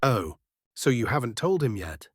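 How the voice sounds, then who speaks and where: An actor voicing a kindly, reassuring doctor with a husky voice in a comic dub. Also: mid-range voice, big pitch swings, moderate pace, heavy background noise, lightly acted.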